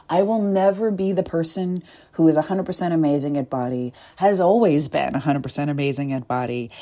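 Deep soft-voiced woman, mellow tone, slow thoughtful talk with little whispers. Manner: soft, deliberate, with pauses and whispered asides. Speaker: body-work coach. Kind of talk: reflective spoken essay.

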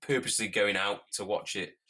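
A man's voice: lowish voice, fairly quick. A man co-hosting a podcast, chatting casually and animatedly, throwing in silly voices. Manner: animated, puts on silly voices when quoting people. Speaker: podcast co-host. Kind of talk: casual chat.

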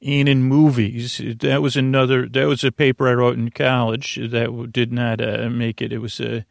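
A man with a creaky, mid-pitched voice hosts a bedtime podcast. He meanders through his monologue, doubling back and stumbling into fresh starts. The recording is very dry and close, with no room sound. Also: flat delivery, no echo. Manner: meandering, halting, self-interrupting with frequent stammered restarts. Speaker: podcast host.

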